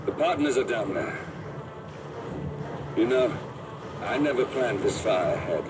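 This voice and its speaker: An actor playing a gruff, urgent covert field operative in a video game, talking in clipped phrases. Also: male voice, medium pitch, lively intonation, a little slow, far from the mic, very noisy, natural voice. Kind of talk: cinematic video game voice acting.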